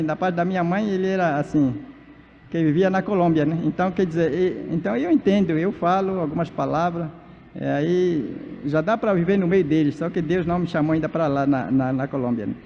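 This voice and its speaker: A man whose first language is Baniwa, a pastor and missionary from Amazonas, preaching at a church conference in halting speech full of fillers and restarts. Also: on the high side, creaky, dark tone, slight background noise. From Amazonas, Brazil. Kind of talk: sermon at a church leaders' conference. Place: large church hall. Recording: dull, reverberant church PA recording.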